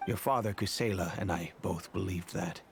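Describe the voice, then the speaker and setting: A courteous, fatherly merchant master from a video game. He speaks in a lowish voice with formal, solemn phrasing, over faint noise. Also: moderate pace, gravelly. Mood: solemn warning. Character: grave, courteous middle-aged male merchant master, fatherly.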